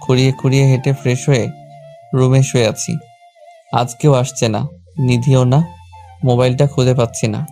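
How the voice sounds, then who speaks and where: Bright, even-toned Bangladeshi male storyteller. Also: mid-range voice, moderate pace, pauses often, soft background music. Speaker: narrator and lead character of a romantic audio story. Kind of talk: scripted first-person story narration with acted dialogue.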